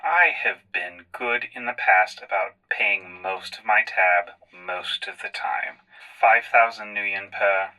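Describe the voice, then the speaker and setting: A man's voice: on the low side, takes it slowly, sharp tone, no echo, low-quality recording. A man playing in a tabletop game, improvising in character with precise, formal wording broken up by swearing. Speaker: tabletop podcast player.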